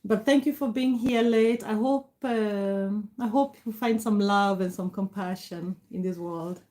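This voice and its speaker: A woman's voice: medium pitch, unhurried, mellow. A middle-aged woman from Kenya with a Kenyan accent, a native Kikuyu speaker, chatting casually on a livestream, greeting viewers by name and thanking them. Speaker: livestream host. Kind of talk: casual live chat reading comments.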